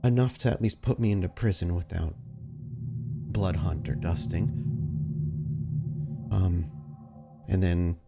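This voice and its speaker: Man playing a wary young vampire woman, low-voiced. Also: unhurried, vocal fry, pauses often, loud music behind the voice. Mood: subdued. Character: guarded young female vampire, punkish, wary but soft.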